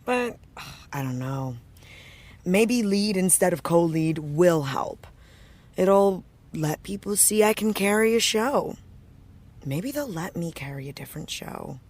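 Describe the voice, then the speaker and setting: A jaded American actress in a naturalistic audio drama. Her voice sits low and moves slowly, with big expressive swings. She is wry and casual, doubting herself and letting thoughts trail off. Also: full character voice. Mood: wry, teasing.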